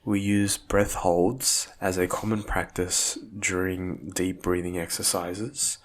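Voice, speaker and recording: Slow, low-voiced man guiding meditation. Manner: measured, soothing, phrase-by-phrase delivery. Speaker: physiotherapist guiding a breathing exercise. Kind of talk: guided meditation, calm instructional narration.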